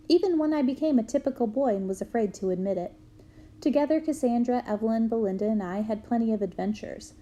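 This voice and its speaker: A woman reading a memoir aloud to students in a clear storytelling manner. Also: a little high. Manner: clear storytelling, bursts into loud shouts for quoted yells.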